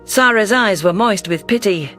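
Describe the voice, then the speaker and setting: Male narrator, high register, scripted first-person audio fiction, faint music bed. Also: moderate pace, sharp tone.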